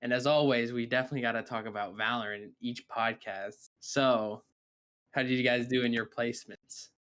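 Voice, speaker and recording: A man's voice: medium pitch, fairly even intonation, brisk, a bit bright, smooth. A young man from Canada co-hosting a casual gaming podcast, rambling with lots of fillers and restarts. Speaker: podcast co-host.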